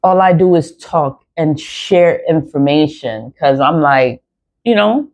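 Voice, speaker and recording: A woman's voice: sits low, lively intonation, slow, a little mellow. A woman in her forties ranting bluntly to her viewers, swearing often and hammering her points with repeated phrases. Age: 40s. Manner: repetitive, emphatic phrasing. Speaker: spiritual vlogger. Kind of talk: unscripted monologue to viewers. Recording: dull lo-fi recording.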